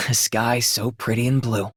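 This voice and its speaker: A wistful, gentle man from a video game. His mid-pitched voice delivers scripted lines in a very dry recording. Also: little pitch movement, a little slow, breathy edge, no echo. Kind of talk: scripted game character voice line.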